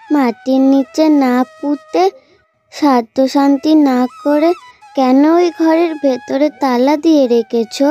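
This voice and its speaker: A performer voicing the calm, sad ghost of a little village girl in a cartoon, speaking softly with gentle pleading. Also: sits high. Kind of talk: cartoon horror story acting. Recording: dull lo-fi sound, soft music behind.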